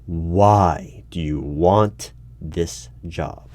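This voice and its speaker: A man's voice: deep voice, very slow, darkish tone. A man playing an exasperated, bureaucratic human resources manager in a one-sided interview roleplay, hesitating and stammering when flustered. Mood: exasperated, officious. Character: exasperated, officious human resources hiring manager at secretive agency. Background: computer keyboard typing.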